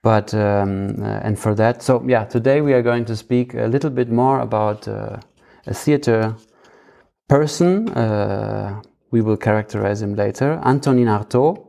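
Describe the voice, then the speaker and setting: Male podcast host, German accent, low register with fry, casual and halting delivery. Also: dark tone. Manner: halting, searching for words. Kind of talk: casual conversational podcast hosting.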